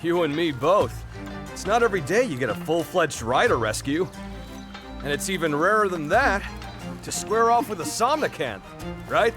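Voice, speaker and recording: A young man playing an eager, friendly adventurer in a game cutscene, with a casual, excitable way of talking. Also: a little high, normal speed, music in the background. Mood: friendly, grateful.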